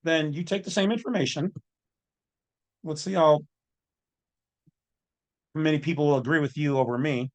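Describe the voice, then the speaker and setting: A man's voice: on the high side, normal speed, pauses often. A man delivering informal, off-the-cuff commentary as a channel host, with a colloquial, opinionated way of talking.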